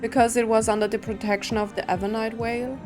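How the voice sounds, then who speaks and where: A woman's voice: a little high, level tone, quick pace. A woman giving casual game playthrough commentary, musing aloud and asking herself questions as she follows the story. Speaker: game streamer. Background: game music.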